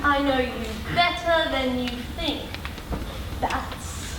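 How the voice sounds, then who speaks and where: A very high-pitched, gleefully taunting supervillain acted by a woman in a comic stage play. The delivery is theatrical and scornful, caught from far off in a very echoey hall with audience noise. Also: slow. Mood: sly taunting. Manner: theatrical, mocking.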